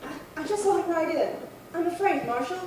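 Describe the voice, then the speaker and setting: A woman's voice: very high voice, expressive, moderate pace, darkish tone. A woman playing an anxious but defiant frontier wife, urgent and plainspoken, in old-time radio drama style. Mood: anxious, pleading. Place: little theatre stage, distant mic. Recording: very echoey hall, far from mic, faint noise.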